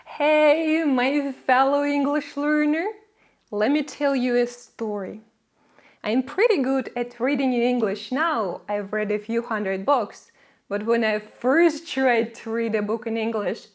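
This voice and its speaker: Bright-voiced Russian-native woman teaching English. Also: a little high, slow pace. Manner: friendly, playful asides. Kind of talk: scripted lesson to camera.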